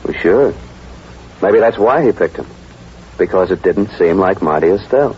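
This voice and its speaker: An actor playing a nonchalant, tough chauffeur in a 1940s radio drama, laconic and offhand. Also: male voice, low voice, expressive, moderate pace. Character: nonchalant tough male chauffeur, casual killer. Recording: muffled vintage radio, faint noise.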